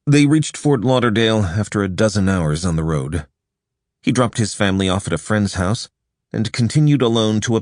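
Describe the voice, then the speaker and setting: A man narrating a nonfiction audiobook in a measured, even storytelling delivery, recorded close in a studio. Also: fairly low pitch, dry recording. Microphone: close studio microphone.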